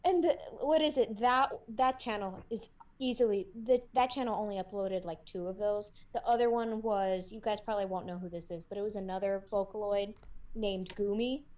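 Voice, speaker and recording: A woman in casual conversation, telling stories in an animated, giggly way. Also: medium pitch, normal speed. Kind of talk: casual chat.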